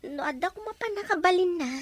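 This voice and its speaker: A woman's voice: extremely high pitch, expressive, fast, loud music behind the voice. A woman voicing an earnest young daughter who longs for her parents, in an emotional audio drama. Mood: anxious.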